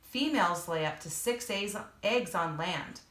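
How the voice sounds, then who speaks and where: A woman reads an informational book aloud for children, speaking to her young listeners directly and pausing to explain as she goes. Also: fairly low pitch, expressive, a bit bright. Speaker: reader and teacher for children. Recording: echoey room.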